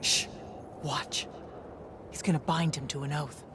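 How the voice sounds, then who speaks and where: A woman playing a brisk, stern battlemage commander in a video game, terse and commanding. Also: low-pitched, steady tone, heavy background noise. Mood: terse, skeptical.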